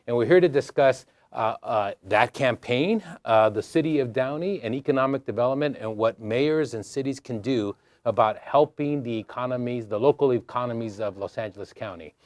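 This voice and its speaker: Friendly professor hosting a dry-sounding interview. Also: male voice, mid-range voice, moderate pace, few pauses, a little mellow, no room sound. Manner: friendly, joking, hesitant with fillers. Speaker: university professor and interview host. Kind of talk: hosted interview.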